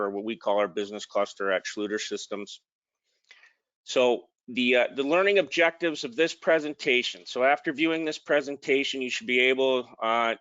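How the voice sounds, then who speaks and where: A man's voice: mid-range voice, bright, forceful tone, clean tone, no echo, highs cut off. A man presenting a technical slide talk in an informal way, with frequent ums and restarted words. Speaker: product manager presenting.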